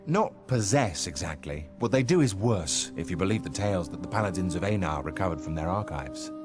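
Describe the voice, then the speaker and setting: A man's voice: low-pitched, lively intonation, a little fast, over loud music. A man voicing an earnest, courteous adventurer in a video game, speaking politely and formally. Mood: earnest, respectful. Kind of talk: video game cutscene acting.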